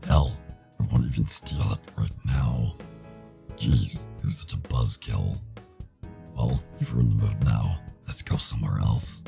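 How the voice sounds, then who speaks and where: Surly young wolf bully, extremely deep, heavy gravel, blunt delivery, for a visual novel. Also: male voice, unhurried, music in the background, exaggerated voice. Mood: irritated. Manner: blunt, grumbling, defiant. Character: gruff, surly anthropomorphic wolf guy, young, quick-tempered bully. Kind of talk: visual novel character voicing.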